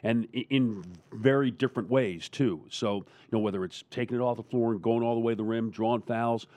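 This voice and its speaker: A man's voice: medium pitch, fairly even intonation, a little fast, a little mellow, dry recording. A man who works as a radio broadcaster, talking emphatically in a sports roundtable and repeating phrases for stress.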